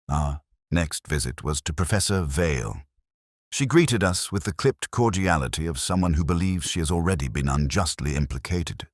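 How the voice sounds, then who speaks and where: A man playing a brooding, introspective police detective, narrating his case as a measured, solemn first-person story. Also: extremely low pitch, no echo, clean studio sound, heavily acted.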